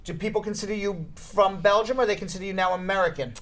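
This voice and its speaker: Middle-aged host guy in a tv studio, quick questions, sums up what people say. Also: high-pitched, fairly even intonation, a bit bright. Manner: brisk, questioning, repeats points back. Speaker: show host. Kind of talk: talk show interview. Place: TV studio desk.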